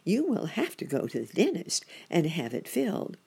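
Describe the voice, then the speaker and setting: A woman voicing a kind, sensible muskrat housekeeper who speaks in a calm, reassuring way. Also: fairly low pitch, normal speed, creaky, husky, voice pushed up, heavily acted.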